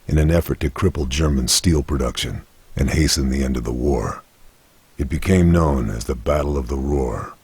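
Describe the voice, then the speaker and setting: Game cutscene narrator: hardened military man, solemn and measured, rock-bottom pitch, close dry sound. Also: a little mellow, dry recording, exaggerated voice. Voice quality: gravelly, husky. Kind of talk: scripted documentary-style game cutscene narration.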